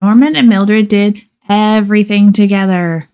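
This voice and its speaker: Woman, storybook narrator, slow pace, expressive read-aloud, measured emphasis, dramatic pauses. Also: mid-range voice, clean tone. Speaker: storybook reader. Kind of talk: expressive children's picture book read-aloud.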